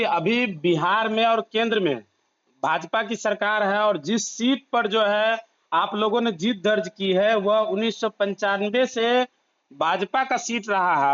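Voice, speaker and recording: A male news interviewer with a very high, clean voice. He puts probing questions to his guest, and the recorded call sounds slightly muffled. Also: fairly bright tone. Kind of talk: news interview over the phone.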